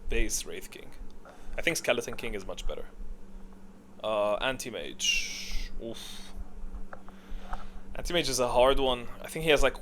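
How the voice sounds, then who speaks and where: A man's voice: mid-range voice, a bit bright, slight background noise. A man giving casual, unscripted ranking commentary, thinking aloud in an opinionated way. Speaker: video host.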